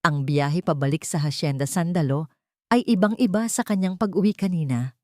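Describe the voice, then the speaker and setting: A woman's voice: fairly low pitch, normal speed, dark tone, no echo, studio quality. A woman reading a scripted story as a dramatic narrator.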